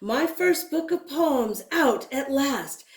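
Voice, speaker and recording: A woman reading a picture book aloud to children, expressively, pausing to speak directly to her young listeners. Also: high-pitched, animated, roomy sound. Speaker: children's story reader.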